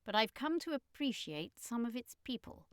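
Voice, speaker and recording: Woman putting on a solemn, slow male Martian. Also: on the high side, big pitch swings, dry recording, studio quality, pitched above the performer's own voice. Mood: regretful, guarded. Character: grave, guarded male Martian, gentle and regretful.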